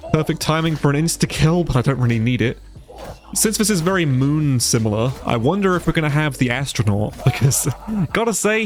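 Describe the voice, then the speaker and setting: Male let's play YouTuber, bunged up with a cold, lively melodic delivery, loose, profane and readily impressed, light music underneath. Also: mid-range voice. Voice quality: congested, stuffy-nosed. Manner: casual, sweary, easily amazed. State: sick with a stuffy nose. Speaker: gaming YouTuber, player. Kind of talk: casual let's play commentary.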